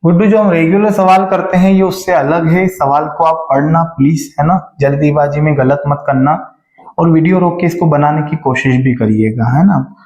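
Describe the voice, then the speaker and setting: A man's voice: a little high, a little mellow, roomy sound, dull audio. A man teaching a math lesson for exam prep, explaining step by step and often checking that students follow. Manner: stretches key phrases slowly while reading the question aloud.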